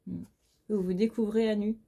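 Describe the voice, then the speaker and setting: A woman's voice: medium pitch, fairly even intonation, moderate pace, creaky, mellow, slightly rough. A very tired woman giving a casual, chatty tarot reading, speaking informally and bluntly with mild swearing. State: very tired, short of sleep. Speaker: tarot card reader.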